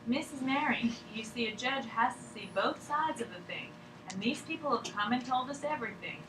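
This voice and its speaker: A woman's voice: a little high, expressive, normal speed, lightly acted. A woman acting a patient, deferential official who keeps questioning a sick patient in a drama table read. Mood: patient, persistent. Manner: polite, deferential, insistent. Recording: echoey room, distant mic, noisy background.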